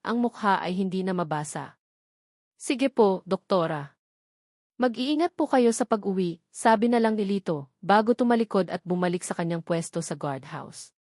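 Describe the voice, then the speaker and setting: A woman's voice: mid-range voice, a little mellow, no echo, studio quality. A woman reading a scripted romance story as its narrator.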